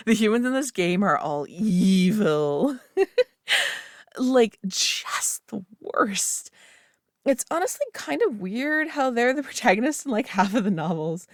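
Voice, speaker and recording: A gushing young woman, a nerdy friend lost in her favorite hobby, as a woman acts her out, chattering on with "like" and soft giggles. Her voice sits at an ordinary middle pitch. It is recorded close and bone dry, with no room sound at all. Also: no echo. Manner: rambling, eager, full of "like" and giggles. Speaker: voice actor in an audio roleplay. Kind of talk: scripted roleplay monologue to the listener.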